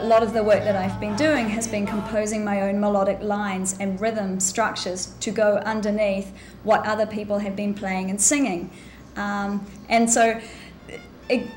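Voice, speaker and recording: A woman with a New Zealand accent chatting enthusiastically as a musician being interviewed. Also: on the high side. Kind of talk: casual interview. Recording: echoey room.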